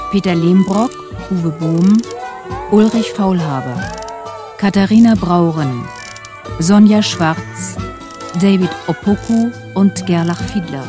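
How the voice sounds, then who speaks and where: A woman announces radio credits in a fairly low voice. She reads formally and very slowly, with music clearly behind her.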